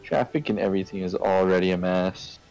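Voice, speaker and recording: Gravelly low-voiced man chatting casually. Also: brisk, darkish tone, faint music behind, low-quality recording. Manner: rambling, explains with hypotheticals and tag questions. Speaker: game streamer. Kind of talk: casual chat during a game livestream.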